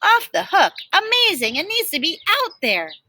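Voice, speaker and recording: A woman voicing an exuberant male celebrity TV chef in a storybook, raving about food in drawn-out exclamations. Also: huge pitch swings, sharp tone, a little hiss or hum, exaggerated voice. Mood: excited raving. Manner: booming catchphrases, drawn-out exclamations.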